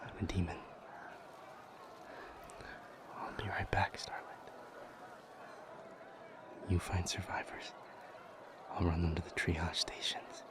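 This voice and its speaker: A man plays a protective male demon lover in an intimate audio drama. His voice is very low and often slips toward a whisper. He speaks slowly and earnestly, with urgency held underneath. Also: level tone. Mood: protective, tender.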